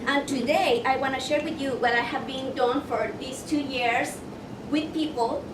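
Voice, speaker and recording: A woman's voice: high voice, steady tone. A woman from Ecuador, presenting a motivational workshop and asking her audience questions. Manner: slow and deliberate, asks the audience questions. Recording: echoey room, mic far off, noisy.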